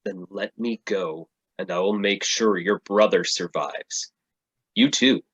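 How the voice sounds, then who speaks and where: A man playing a self-righteous captive superhero in a staged play reading, with a husky voice. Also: fairly low pitch, takes it slowly, fairly bright tone, heavily acted. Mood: bargaining. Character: captive self-righteous male superhero, pleading for his life.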